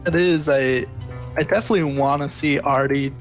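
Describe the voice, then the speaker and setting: A man's voice: on the high side, steady tone, normal speed, faint music behind. A man as guest co-caster, giving laid-back analytical color commentary on a live game.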